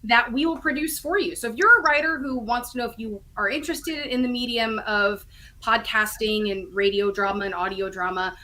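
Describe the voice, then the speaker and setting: A woman's voice: a little high, brisk, bright, forceful tone. A woman talking casually as an interview guest, explaining things in a chatty, enthusiastic way. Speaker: guest, audio drama writer and co-creator.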